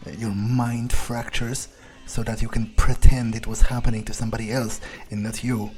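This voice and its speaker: A man doing an in-character game playthrough, thinking aloud in a gruff, blunt, halting way with plenty of swearing. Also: medium pitch, flat delivery, a little mellow. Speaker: gamer playing in character.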